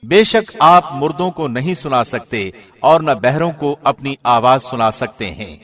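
Calm man reading a studio narration. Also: medium pitch. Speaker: translation narrator. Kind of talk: scripted narration. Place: recording studio.

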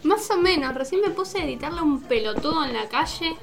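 An actress plays a young Argentine student in a radio play, speaking in a high voice over clearly audible music. She is colloquial, sarcastic and easily exasperated. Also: expressive, a little fast. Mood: exasperated, indignant. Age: young adult. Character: indignant young female student venting to a friend. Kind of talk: naturalistic radio drama dialogue.

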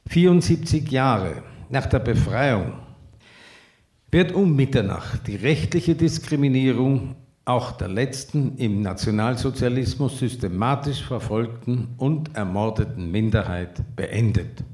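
An actor playing a solemn man giving a measured, declamatory public address in a radio drama. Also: mid-range voice, lively intonation, warm tone, roomy sound, close to an everyday voice.

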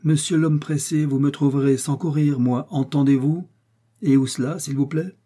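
A man's voice: mid-range voice, fairly quick, warm tone, slightly rough, close to an everyday voice. A man reading aloud and voicing a proud, cold male musketeer who speaks in a haughty, menacing manner.

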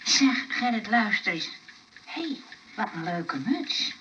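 A woman acting a chatty, well-meaning, bossy nurse in a Dutch radio comedy play, brisk and hesitating mid-sentence. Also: mid-range voice, big pitch swings, sharp tone, heavily acted. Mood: chatty, persuading. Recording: noisy, muffled old radio-play recording.

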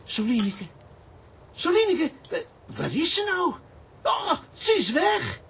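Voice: extremely high pitch, huge pitch swings, slow pace, a bit bright, exaggerated voice. An actor voicing a lovesick, sentimental living coffee pot in a children's radio play, talking plaintively. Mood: pleading, distressed.

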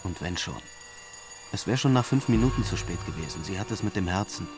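A man's voice: sits low, expressive, fairly quick, over loud music. An actor playing an arrogant, cold-blooded man in a radio drama, mock-polite and condescending. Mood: smug, cold. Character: arrogant, cold-blooded male far-right schemer, condescending and menacing. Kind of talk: naturalistic radio drama acting.

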